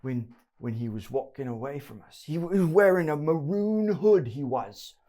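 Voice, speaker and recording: A scared street thug acted out by a man in a tabletop game. He pleads in a raised, strained pitch, stumbling over his rough grammar as he tries to save his skin. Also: expressive, moderate pace, mellow, lightly acted. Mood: nervous, explaining. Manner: stammering, ungrammatical, pleading. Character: frightened lowlife thug pleading for mercy.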